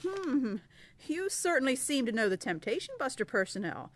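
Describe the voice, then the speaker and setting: A woman's voice: high-pitched, big pitch swings, moderate pace. A woman playing a prim, officious, skeptical receptionist in an audio drama. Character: officious, fussy receptionist and dispatcher.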